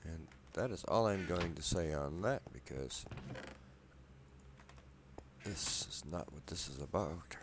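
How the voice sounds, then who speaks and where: A man quietly rambling through casual gameplay commentary in his apartment at night, a bit wheezy from a cold, soft-spoken and self-deprecating. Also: rumbling low, animated, takes it slowly, long pauses, background noise. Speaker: gameplay video maker. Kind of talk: casual let's play commentary.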